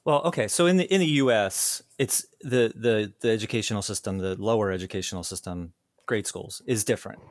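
A man interviewing, his voice on the low side and recorded dry and close with no room sound. He listens closely and works his questions out aloud. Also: fairly quick, dry recording. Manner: attentive, thinks aloud while framing questions. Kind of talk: conversational interview.

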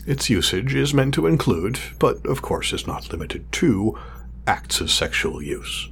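A man playing a proud, exacting craftsman of android companions in an audio drama, speaking in a formal, measured, condescending way. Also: mid-range voice, very animated, fairly bright tone, heavily acted. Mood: smug, condescending. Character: pompous male craftsman of artificial companion drones, exacting, proud. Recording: clear background noise, sci-fi lab ambience.

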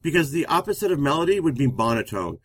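A man with a loud voice who talks fast, co-hosting a live-streamed relationship talk show. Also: on the high side, husky. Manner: fast-talking, energetic.

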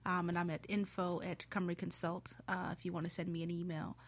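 A woman's voice: lowish voice, level tone, a little fast. A woman consultant answering as a show guest in a plain, explanatory, conversational way.

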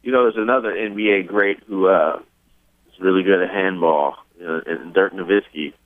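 A male reporter puts casual questions to a player during a phone press call. His voice is fairly low and comes through a dry, phone-like line. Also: moderate pace, no room sound.

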